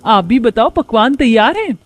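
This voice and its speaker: A woman voicing an eager, competitive young daughter-in-law in animated story dialogue. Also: fairly high pitch, moderate pace, pitched above the performer's own voice.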